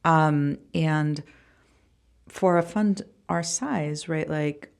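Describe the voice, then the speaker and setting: A woman from Costa Rica with a very low, noticeably creaky voice. She talks informally as an interview guest, halting often and repeating words for emphasis. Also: darkish tone. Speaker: podcast guest, venture fund founder. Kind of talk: casual interview conversation.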